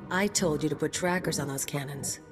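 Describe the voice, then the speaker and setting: A woman's voice: low voice, fairly quick, lightly acted. A woman playing a cynical, brash young mercenary in a video game, curt, dismissive and sardonic. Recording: long reverb, music behind.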